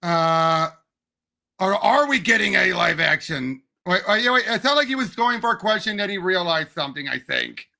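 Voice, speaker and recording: A man's voice: high voice, lively intonation, normal speed, gravelly, pushed and bright. A man talking about movies as a podcast panelist, emphatic and eagerly repeating himself. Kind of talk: casual movie discussion.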